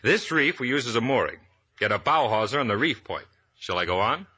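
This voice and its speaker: An actor playing a brusque, confident treasure hunter with a husky voice, giving urgent instructions in a 1950s radio drama. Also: male voice, mid-range voice, expressive, a little fast, pushed and bright. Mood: eager, businesslike. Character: brusque, confident male treasure-hunting diver.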